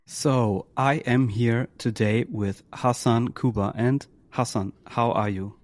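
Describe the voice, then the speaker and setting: A man's voice: medium pitch, fairly even intonation, takes it slowly, faint music behind. A man hosting a podcast, reading the intro and asking curious, plain questions. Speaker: podcast host.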